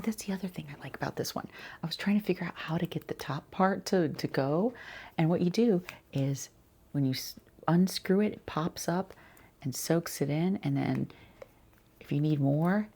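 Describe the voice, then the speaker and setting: Husky, soft-spoken woman doing ASMR. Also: deep voice, normal speed. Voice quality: soft, breathy, often slipping into whisper. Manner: casual, rambling, reassuring the client. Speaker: ASMR creator playing a skincare boutique consultant. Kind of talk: quiet-talking ASMR roleplay, chatty consultation.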